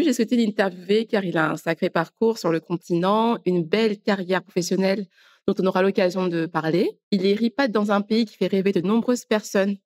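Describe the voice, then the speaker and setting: A woman hosting a podcast, upbeat and clear as she introduces and asks questions. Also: mid-range voice, darkish tone. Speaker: podcast host. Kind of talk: scripted intro then interview questions.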